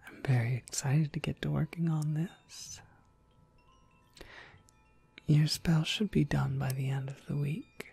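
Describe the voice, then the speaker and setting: Slow, breathy whispering witch played by a woman. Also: sits low, full character voice. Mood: pleased, gentle. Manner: gentle, polite, unhurried. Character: friendly witch shopkeeper, kindly and softly welcoming. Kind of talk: ASMR roleplay, whispered and soft-spoken.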